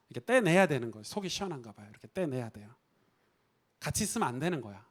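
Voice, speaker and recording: Conversational Korean pastor preaching. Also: male voice, fairly high pitch. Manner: conversational, rhetorical questions, acts out quoted voices. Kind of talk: sermon. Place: church.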